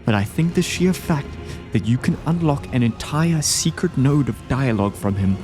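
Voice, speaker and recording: A man's voice: mid-range voice, lively intonation, normal speed. A man giving enthusiastic, scripted video essay commentary, ranking game bosses. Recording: game music behind the voice. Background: music.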